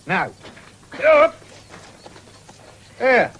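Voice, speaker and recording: A man's voice: very high-pitched, sing-song, very slow, fairly bright tone, full character voice. An actor playing a young horseman, blunt and mocking, in a radio drama. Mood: coaxing. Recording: hissy 1970s radio drama recording.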